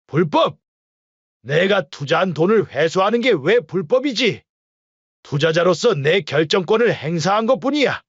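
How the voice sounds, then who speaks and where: A man playing a stern, middle-aged husband who speaks in a cold, curt, commanding way. The voice sits fairly high for a man, and the recording is dry and somewhat muffled. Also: big pitch swings, moderate pace, no echo. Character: cold stern wealthy father-in-law.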